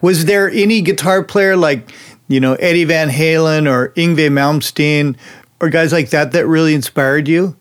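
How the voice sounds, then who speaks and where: A man's voice: fairly high pitch, moderate pace, clean tone. A man hosting an interview, speaking in an enthusiastic, friendly way and laughing often. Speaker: interviewer and show host. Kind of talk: interview host.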